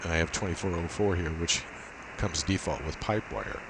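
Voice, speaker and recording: Low-voiced man over hissy laptop mic. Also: moderate pace. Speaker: amateur radio operator giving a tech demo. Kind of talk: informal screen demo walkthrough. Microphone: built-in laptop microphone. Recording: steady hiss from cheap laptop microphone.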